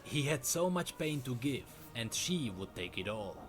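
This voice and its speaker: A man's voice: medium pitch, sing-song, normal speed. A man narrating a lore story in a scripted storytelling style, with music underneath. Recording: music under a played-back video. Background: background music.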